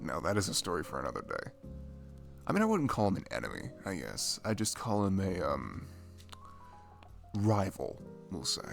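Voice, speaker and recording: A man's voice: low-pitched, huge pitch swings, normal speed, creaky, soft background music, heavily acted. A man playing a shy, dorky best friend in an ASMR roleplay, chatting casually in a rambling, stammering, nerdy way. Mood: friendly, awkward. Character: dorky shy young male best friend, playful gamer.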